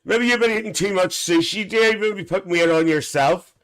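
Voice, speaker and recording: A man's voice: sits high, a little fast, fairly bright tone, clean tone. A man playing a gossipy older aunty who hosts a live stream, chatting bawdily with viewers and calling them dear. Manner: bawdy, gossipy, calls viewers dear, laughs a lot. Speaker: livestream host. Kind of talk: live-stream comic chat reading comments.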